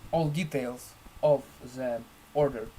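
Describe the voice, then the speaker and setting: A man presenting a screen-share software tutorial in halting, deliberate phrases with formal, elaborate wording. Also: mid-range voice, a little hiss or hum, poor audio.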